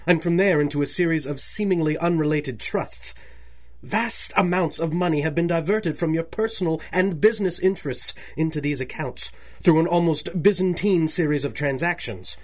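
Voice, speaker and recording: An actor playing an older bank president in a radio drama, formal, fussy, deferential and anxious. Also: male voice, a little high, close to an everyday voice. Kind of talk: 1930s-style radio drama acting.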